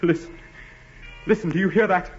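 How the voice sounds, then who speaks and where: An actor in 1940s radio style playing an arrogant, tormented aristocratic husband who speaks formally and with sardonic coldness. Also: male voice, high-pitched, moderate pace, faint music behind, close to an everyday voice. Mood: agitated, evasive. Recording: muffled vintage 1940s radio recording.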